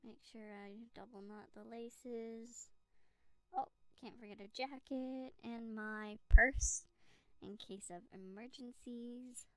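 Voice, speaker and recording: A woman acting a devoted young girlfriend in an ASMR roleplay, murmuring to herself with hesitant pauses on a close microphone. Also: fairly high pitch, fairly even intonation, sharp tone, clear, resonant tone, dry recording, exaggerated voice, rough recording. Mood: affectionate, eager. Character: devoted young girlfriend, eager then self-pitying in rain. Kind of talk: slow ASMR roleplay monologue. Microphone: close USB condenser microphone.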